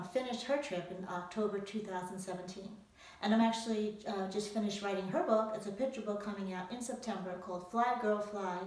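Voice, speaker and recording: A woman's voice: mid-range voice, darkish tone. A woman author giving an emphatic storytelling talk to young readers, posing rhetorical questions. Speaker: author presenting own book to young readers. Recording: very echoey room, distant from microphone.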